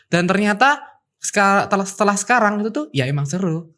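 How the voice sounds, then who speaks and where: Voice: medium pitch, expressive, brisk, sharp tone. A student in their twenties from Java, chatting casually on a student podcast and thinking aloud with fillers. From Central Java, Indonesia. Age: 20s. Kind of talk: casual chat.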